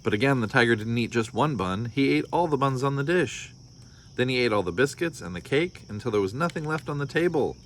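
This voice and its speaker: A man's voice: mid-range voice, animated, brisk, slight background noise. An American man reading a children's story aloud, breaking off for wry, casual asides. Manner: wry, joking commentary. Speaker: storybook reader.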